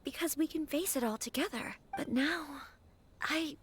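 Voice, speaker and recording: A woman voicing a polite, earnest young noblewoman in a game, speaking formally, gently and with some hesitation. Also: sits high, moderate pace, lightly acted. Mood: pensive. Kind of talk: scripted game dialogue.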